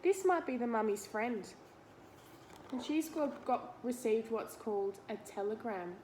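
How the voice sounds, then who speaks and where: A woman's voice: fairly high pitch, darkish tone, slight background noise, rough recording. A woman reading a picture book aloud to children, explaining it simply and putting questions to the listener. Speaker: read-aloud teacher.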